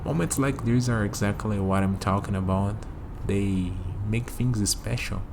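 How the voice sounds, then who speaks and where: A man's voice: on the low side, unhurried, vocal fry, noisy background. A man acting a friendly, easygoing human on a night walk in an ASMR roleplay, musing conversationally to the listener. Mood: reflective, warm.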